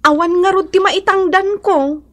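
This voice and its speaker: A woman playing a poor, envious wife and mother in a radio comedy drama, plaintive and expressive, with an Ilocano accent. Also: extremely high pitch, normal speed. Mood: defensive.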